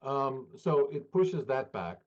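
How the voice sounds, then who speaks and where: A man giving spontaneous political analysis as an interview guest, halting and deliberate. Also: mid-range voice, very animated, darkish tone, muffled recording.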